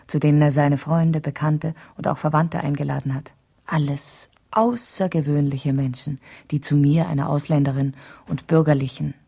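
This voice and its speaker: A vain, talkative wife in a seventies German radio drama. Her voice is fairly low for a woman and softly mellow. She chatters on, wanders off the point and justifies herself with an indignant edge. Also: creaky, slightly rough, exaggerated voice. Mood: chatty, self-satisfied. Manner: chatty, digressive, self-justifying. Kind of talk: 1970s German radio play, letter monologue.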